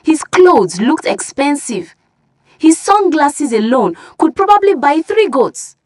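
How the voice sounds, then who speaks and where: A woman storyteller reads a scripted folktale. Her voice sits a little high. The recording is dry and close, with no room sound. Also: big pitch swings, takes it slowly, dry recording.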